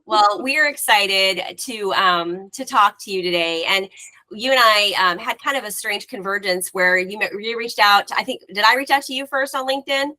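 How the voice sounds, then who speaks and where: A woman's voice: medium pitch, normal speed, bright, forceful tone, poor audio. A woman hosting a podcast interview, effusive and chatty, with frequent fillers. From Florida Panhandle. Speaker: podcast host. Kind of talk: casual interview chat.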